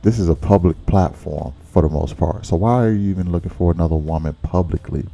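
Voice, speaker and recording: A man's voice: low-pitched, fast, vocal fry, mellow, soft background music. A man hosting a reaction channel, giving blunt, casual commentary on a relationship clip and often repeating words as he thinks.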